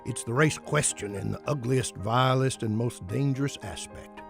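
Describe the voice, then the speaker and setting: Gravelly, declamatory segregationist newspaperman played by a man. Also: mid-range voice, normal speed, soft background music, close to an everyday voice. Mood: grim, contemptuous. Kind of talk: dramatic reading of historical quotation.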